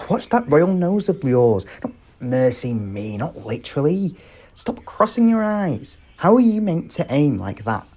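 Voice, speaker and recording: Male actor for a roguish bandit protector in ASMR roleplay: husky, expressive, teasing, exasperated yet flirtatious. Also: mid-range voice. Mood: patient instruction, then exasperated, amused.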